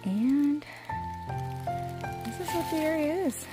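A woman's voice: sits high, slow, music in the background, rough recording. Chatty woman vlogging on a hike. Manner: chatty, upbeat, self-filming while hiking. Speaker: hiking vlogger. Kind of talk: casual trail vlog narration. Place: outdoors in mountain woods. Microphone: phone held at arm's length.

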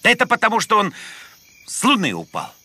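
A man's voice: sits high, wildly swooping pitch, brisk, pushed and bright, exaggerated voice. A man voicing a grumpy, stubborn know-it-all bear in a cartoon, blustering and whining as he stammers complaints. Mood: grumbling. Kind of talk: animated cartoon voice acting. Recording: music behind the voice.

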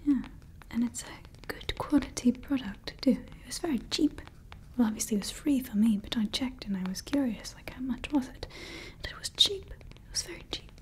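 A woman's voice: on the high side, expressive, moderate pace, close to an everyday voice, low-quality recording. A young woman playing a haughty female evil scientist in an ASMR roleplay, whispering close to the mic, scolding her staff and fussing over her creation. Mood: chatty, doting. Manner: mostly whispered, scolding and fussy. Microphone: close sensitive ASMR mic.

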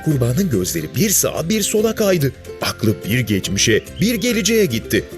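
A man's voice: mid-range voice, huge pitch swings, normal speed, soft background music. A man narrating a scripted story for children.

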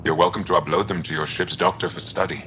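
A courteous alien physician for a sci-fi game. The voice is low and bright-edged, the delivery measured and formal. Faint ambience sits behind it. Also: male voice, fairly even intonation, a little hiss or hum. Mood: measured, curious. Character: calm, courteous male alien doctor. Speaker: doctor. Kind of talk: video game dialogue.

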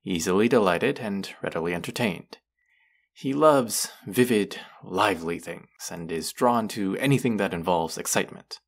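Casting: male actor as rude, smug college twin for audio roleplay; low voice, heavy fry, sardonic drawl. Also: moderate pace. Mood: condescending, instructing. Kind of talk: scripted roleplay addressed to the listener.

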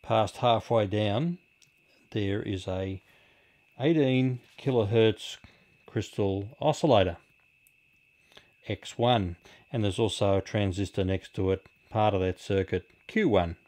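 A middle-aged man with a fairly low voice talks through things like a hobbyist presenter. His delivery is casual and explanatory, halting and unhurried. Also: a little mellow. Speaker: amateur radio hobbyist presenter. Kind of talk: casual explanatory video talk. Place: small indoor radio room.

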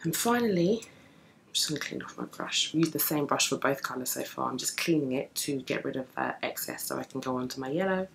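A young woman with a deep early-morning voice, chatting through a makeup tutorial at home while she works on her eyes. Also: lively intonation, a little fast, creaky, slight background noise, low-quality recording. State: just woken, deep morning voice. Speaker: beauty vlogger. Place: home room with bookshelves, indoors.

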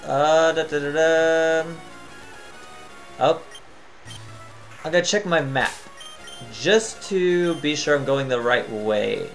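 A tired man giving casual, chatty commentary as he plays a video game, thinking out loud and humming to himself. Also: a little high, slow, vocal fry, long pauses, faint music behind. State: tired, voice near cracking. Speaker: gaming channel host.

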